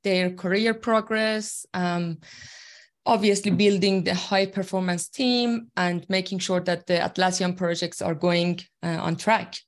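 A woman's voice: fairly low pitch, steady tone, measured pace. Iranian-born woman, farsi native, tech lead guest chatting, earnest, full of ums and likes. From Iran. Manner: earnest, explaining from experience, many fillers. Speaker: guest, tech team leader. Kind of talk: interview conversation.

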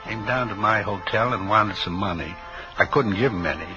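Man playing low-voiced folksy reformed old hustler. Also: moderate pace, natural voice, rough recording. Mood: rambling, obliging. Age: 50s. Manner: rambling, folksy, easily distracted. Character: reformed 58-year-old skid-row drug peddler, folksy and pious. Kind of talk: 1950s radio drama acting. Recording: old radio drama, music playing behind.

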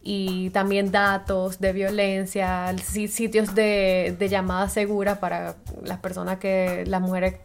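A woman's voice: medium pitch, little pitch movement, takes it slowly, creaky, hardly any pauses, soft background music. A woman with a Dominican accent, co-hosting a casual talk show and explaining a topic with frequent fillers and restarts. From Dominican Republic. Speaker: co-host. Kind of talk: casual radio chat.

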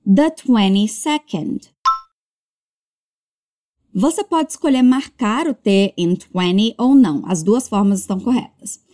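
A woman teaching English as a language lesson, modeling words slowly and carefully before brisk explanations. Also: medium pitch, expressive, dark tone. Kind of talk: slow drilled pronunciation lesson.

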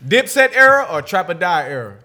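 A man in his thirties hosts a loose podcast chat, talking over everyone and laughing through his points. His voice sits very high and bright. His pitch swings widely as he argues. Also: fairly quick. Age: 30s. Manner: animated, laughing, talks over others. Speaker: podcast host. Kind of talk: casual banter.

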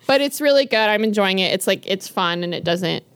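A woman's voice: mid-range voice, expressive, brisk, clean tone. A woman co-hosting a podcast, chatting casually and rambling with many fillers and restarts while recommending books. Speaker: podcast co-host. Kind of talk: casual chat about books.

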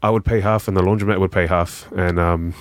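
A young man with a New Zealand accent, talking as a podcast guest in a relaxed, rambling way full of fillers. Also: deep voice, fairly quick, dry recording. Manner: relaxed, rambling, lots of "you know" and "um", says "bro". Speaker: guest, charity founder. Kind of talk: casual podcast conversation.

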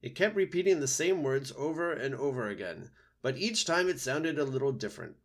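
A man's voice: mid-range voice, lively intonation, brisk, pitched above the performer's own voice. A man voicing a self-important, alarmed owl in an animal story, declaiming in a screechy hoot. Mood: anxious, announcing. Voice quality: screechy, hooting. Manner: declaiming, agitated.

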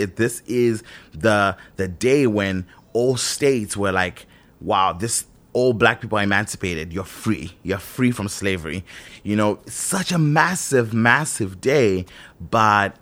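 Guy from zimbabwe with a really low voice, thinking out loud, lots of "you know" and restarts. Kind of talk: reflective casual monologue.

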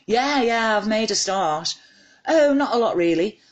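A woman's voice: a little high, expressive, a little slow, pitched above the performer's own voice. A woman voicing a scatterbrained, easily distracted woman writer in a short story, chattering to herself in a flustered way. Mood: bright, evasive. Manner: chatty, flustered self-talk. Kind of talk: short story character dialogue.

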